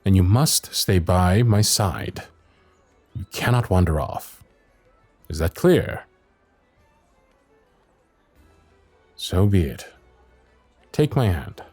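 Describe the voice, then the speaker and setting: Music plays behind a male actor as a devoted royal knight in a fantasy audio roleplay. His lively voice swings widely in pitch. He is protective and exasperated, with a teasing streak and courtly turns of phrase. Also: slow pace, pauses often, exaggerated voice. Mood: resigned, firm. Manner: old-fashioned courtly phrasing, teasing and earnest. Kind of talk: ASMR roleplay acting, fantasy audio drama.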